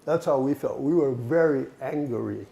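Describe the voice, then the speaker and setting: A man's voice: medium pitch, moderate pace, gravelly, dark tone. A man leading a workshop session, talking animatedly, laughing and asking the group questions. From Hawaii. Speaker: workshop presenter. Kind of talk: interactive workshop talk.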